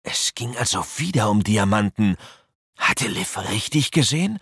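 A man's voice: on the low side, very animated, normal speed, a bit bright, slightly rough, no room sound, clean studio sound. A man narrating a children's radio play in a scripted storytelling style.

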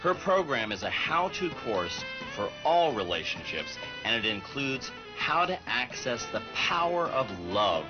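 Announcer man over music, lively pitch. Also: measured pace, hardly any pauses. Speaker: promo narrator. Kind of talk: scripted promotional voice-over. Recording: dull lo-fi sound with music behind.

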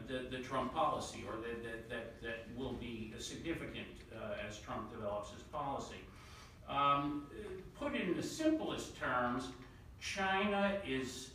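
Quick-talking man, echoey distant room audio. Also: medium pitch, bright, forceful tone, a little hiss or hum. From New York City area. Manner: quick-talking, with many fillers and repeated words. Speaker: panelist. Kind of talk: policy panel remarks. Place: large conference room. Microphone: table mic, recorded from the far side of the room.

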